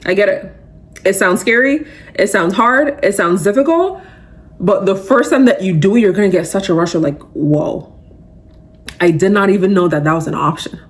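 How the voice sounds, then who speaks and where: A woman's voice: mid-range voice, moderate pace. A young adult woman hosting a podcast, giving a casual, emphatic motivational talk full of fillers. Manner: animated, emphatic, conversational with many fillers. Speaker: podcast host.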